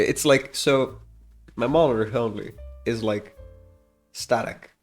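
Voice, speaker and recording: A man's voice: medium pitch, creaky. Guy w a bit of a stuffy nose doing a devlog, rambly and unsure, trails off a lot, music playing behind him. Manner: rambling, hesitant, trailing off mid-thought. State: slightly congested from a cold. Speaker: indie game developer presenting a devlog. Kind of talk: casual unscripted screen-recording commentary.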